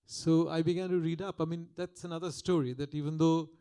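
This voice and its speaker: Soft-spoken older man lecturing. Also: fairly high pitch, steady tone, fairly quick, smooth. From Calcutta, India. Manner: soft-spoken, reflective, with frequent restarts. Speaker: guest lecturer. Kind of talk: public lecture. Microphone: podium microphone.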